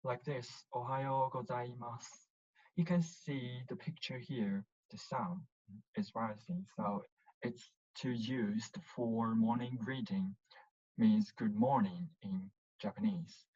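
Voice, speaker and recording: Slow-paced language teacher, muffled lo-fi recording. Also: mid-range voice, steady tone, darkish tone, husky. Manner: deliberate, instructive, with checking questions. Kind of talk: language lesson, explaining and drilling syllables.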